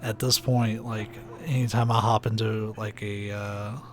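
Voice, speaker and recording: A young man with a soft, soothing voice, chatting casually and rambling while he plays a video game on stream. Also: lowish voice, unhurried. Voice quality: soft, soothing, sleepy-sounding voice. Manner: rambling, laid-back, many "like"s and "uh"s. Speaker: let's play streamer. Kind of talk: casual commentary while gaming. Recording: steady background noise hiss.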